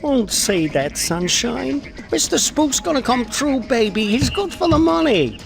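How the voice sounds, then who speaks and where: A man's voice: very high voice, sing-song, measured pace, creaky, soft background music, heavily acted. An actor playing a desperate, washed-up small-time showman in a video game, nervous and wheedling. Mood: desperate pleading. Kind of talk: video game cutscene acting.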